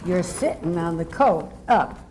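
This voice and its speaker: A woman playing a brisk, mildly exasperated mother of a schoolboy in a live old-time radio comedy sketch. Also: lowish voice, expressive, a little mellow. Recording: live ballroom event, faint noise.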